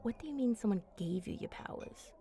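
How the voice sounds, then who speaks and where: Flirty vampire woman for ASMR roleplay, female performer: mellow, breathy and unhurried, coaxing and seductive, music behind. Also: fairly high pitch, animated, a little hiss or hum. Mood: amused probing. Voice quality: breathy, often dropping to a whisper. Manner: slow, teasing, coaxing.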